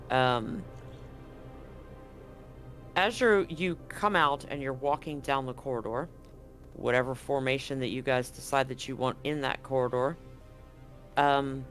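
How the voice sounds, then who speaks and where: A man narrating as game master of a tabletop horror game in a relaxed, chatty way. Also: mid-range voice. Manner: relaxed, chatty, hums sound effects.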